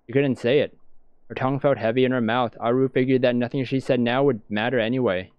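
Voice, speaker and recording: A man's voice: medium pitch, steady tone, fast, clear, resonant tone, no room sound. A man reading visual novel narration aloud, as in a let's-play. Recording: dull lo-fi sound, music behind.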